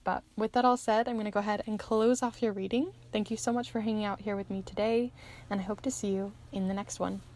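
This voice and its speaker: Woman, medium pitch, gravelly fry, tiring voice, chatty encouraging tarot host, informal. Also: fairly even intonation, quick pace, darkish tone. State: voice starting to give out. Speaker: host, tarot and charm reader. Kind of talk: casual pick-a-card reading, addressing viewers.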